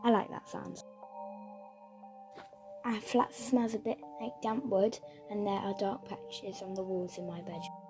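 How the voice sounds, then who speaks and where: A woman voicing a young girl who earnestly tells a gentle storybook tale about her home. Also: mid-range voice, unhurried, pauses often, close to an everyday voice. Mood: wistful. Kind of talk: scripted storybook narration. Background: music.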